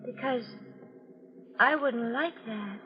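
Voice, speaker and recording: A dull, hissy 1940s radio thriller recording of a young madwoman. Her voice is high and slow, childlike and petulant, with a murderous, mercurial edge. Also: music in the background. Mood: tender, pained. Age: young adult.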